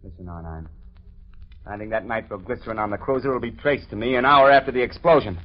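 A man's voice: lowish voice, fast talker, close to an everyday voice. Actor as defiant male crook, American, edgy blustering delivery, 1940s radio drama, muffled old recording. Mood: defiant, desperate. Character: desperate, defiant male saboteur.

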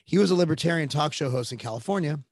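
A man's voice: a little high, lively intonation, fast, darkish tone, no echo, clean studio sound. A middle-aged man hosting a political podcast, blunt and emphatic, swearing casually as he talks. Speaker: podcast host.

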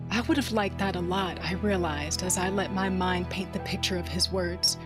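A woman narrating an audio drama in first person as a tough, wry smuggler, soft when tender. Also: fairly low pitch.

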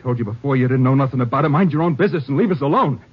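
An anxious young working-class American husband, rough and defensive. His speech is rapid and husky, in old radio drama style on a muffled recording. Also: mid-range voice, lively intonation, no room sound. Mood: angry, defensive. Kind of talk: 1950s radio drama acting.

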